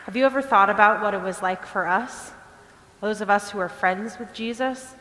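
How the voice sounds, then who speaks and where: A woman's voice: medium pitch, normal speed, fairly bright tone, close to an everyday voice. A woman playing a sad friend of Jesus in a church dramatic reading, earnestly addressing her listeners. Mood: earnest confiding. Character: despairing woman friend of Jesus, confiding storyteller. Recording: echoey church hall with long reverb.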